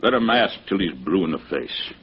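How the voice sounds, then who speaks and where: An actor playing a stubborn, belligerent sea captain in his fifties in a radio drama, curt and defensive, with rough grammar. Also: deep voice, lively intonation, brisk. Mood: defiant, belligerent. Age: 50s. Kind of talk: 1950s radio drama acting. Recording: dull vintage radio recording.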